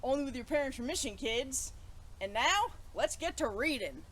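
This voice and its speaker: A woman hosting a children's story time, chatting playfully to kids and reading aloud in an animated way. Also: high-pitched, moderate pace.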